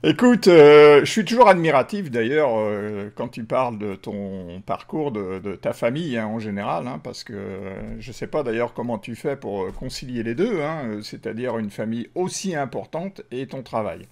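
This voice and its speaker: A man's voice: mid-range voice, lively intonation, normal speed, vocal fry, hardly any pauses, a little mellow. A man hosting a friendly video interview, speaking with many hesitations and fillers. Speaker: interviewer, show host.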